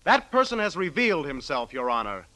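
Animated, earnest American assistant prosecutor. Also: male voice, on the high side. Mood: earnest, revealing. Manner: formal, deliberate testimony. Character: earnest male assistant district attorney giving evidence. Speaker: actor in a radio play. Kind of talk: old-time radio drama acting.

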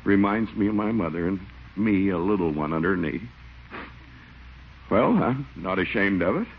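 An actor playing a sentimental, easily swayed small-town police chief in an old radio comedy, blustery and earnest. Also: male voice, deep voice, moderate pace. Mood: tearful, sentimental. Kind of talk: 1940s radio sitcom acting. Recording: muffled, noisy old radio recording.

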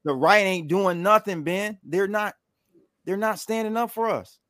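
A man's voice: high voice, unhurried, fairly bright tone, no echo. Blunt co-host man, dry close sound. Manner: agreeable, frustrated, blunt. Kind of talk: casual political chat.

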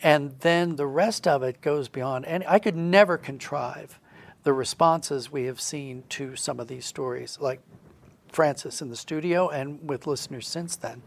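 A man's voice: fairly high pitch, unhurried, vocal fry. A man talking conversationally in an interview as a storyteller, explaining things in a reflective manner. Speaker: guest storyteller, audio drama writer.

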